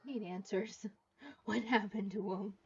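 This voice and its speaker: Husky girl streamer reading game story out loud, trips on words a bit, kinda dull lofi audio. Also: mid-range voice, fairly even intonation, unhurried, faint music behind. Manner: stumbles over words while reading. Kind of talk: live game stream, reading dialogue aloud and reacting.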